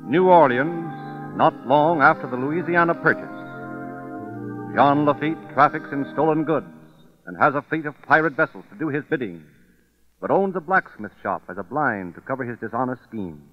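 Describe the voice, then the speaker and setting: A man's voice: a little high, lively intonation, moderate pace. A man announcing and narrating in a dramatic, scripted 1930s radio style. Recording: muffled vintage radio recording. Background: loud brassy music.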